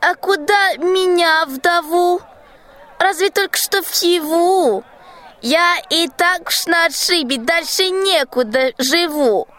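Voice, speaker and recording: A woman in a radio play acting a sly old witch who justifies herself in wheedling, drawn-out rhymed verse. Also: extremely high pitch, a bit bright, heavily acted. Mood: plaintive, sly. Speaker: school student actor.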